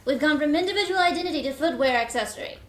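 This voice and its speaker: A woman's voice: high-pitched, lively intonation, racing, fairly bright tone. A woman voicing a grim, commanding piece of bubble gum in an audio comedy, with clipped, dramatic pronouncements in a roomy space. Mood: dour. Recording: roomy sound, faint background noise.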